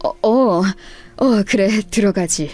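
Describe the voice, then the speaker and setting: A woman voicing a polite, troubled older man, a grandfather, in a halting, subdued way for a story reading. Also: mid-range voice, lively intonation, a little mellow, soft background music. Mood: flustered denial. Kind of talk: dramatised radio story reading.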